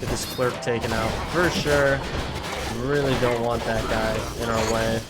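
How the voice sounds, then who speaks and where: Man, gameplay commentary, chatty, slightly hoarse, middle pitch, loud game music behind. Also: steady tone, a little slow. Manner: chatty, addresses viewers as guys, frequent fillers. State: slightly hoarse, losing his voice. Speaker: gaming video creator. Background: game music and combat sounds.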